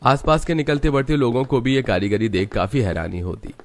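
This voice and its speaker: A man's voice: medium pitch, expressive, a little fast. A man narrating a family story in a scripted storybook style.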